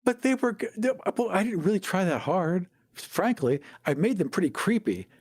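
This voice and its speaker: A man's voice: high-pitched, big pitch swings, moderate pace, a little mellow, slightly rough, no room sound. Emphatic American man, dry close recording. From United States. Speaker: podcast co-host. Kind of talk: casual chat about tabletop gaming.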